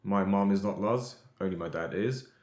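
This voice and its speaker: Low-voiced man hosting in an echoey room. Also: normal speed, darkish tone. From Europe. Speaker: podcast host. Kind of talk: casual conversational podcast talk.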